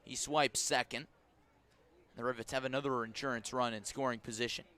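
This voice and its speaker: Male American baseball play-by-play announcer, mid-pitched voice, descriptive live radio delivery in clipped phrases. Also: fairly even intonation, fairly quick, bright, forceful tone. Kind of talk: live radio sports commentary. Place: outdoor baseball stadium at night.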